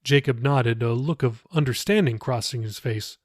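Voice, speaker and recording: A man's voice: medium pitch, normal speed, dry recording, studio quality. A man reading his own novel aloud as scripted audiobook narration.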